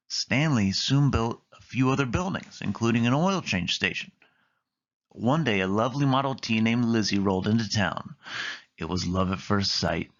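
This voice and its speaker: A dull, muffled recording carries a man reading a children's picture book aloud. His voice sits on the low side, in a storytime narration style. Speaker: storybook reader.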